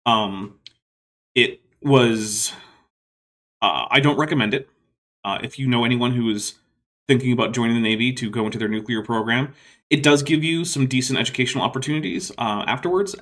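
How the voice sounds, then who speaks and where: A man's voice: medium pitch, a bit bright. Reflective man with a soothing voice. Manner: rambling and reflective, many fillers and restarts. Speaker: YouTube host answering viewer questions. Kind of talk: casual livestream Q&A.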